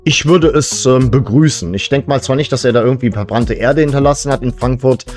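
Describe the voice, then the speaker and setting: Man, medium pitch, casual satirical monologue, tongue-in-cheek, fan show host, music behind. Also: brisk, darkish tone. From Serbian family background. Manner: tongue-in-cheek, joking asides.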